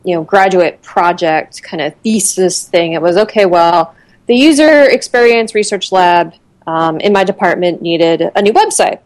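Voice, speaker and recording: A woman's voice: on the low side, dry recording. A woman in her thirties from Connecticut, a guest chatting casually in an interview, with lots of fillers and restarts. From Connecticut, USA.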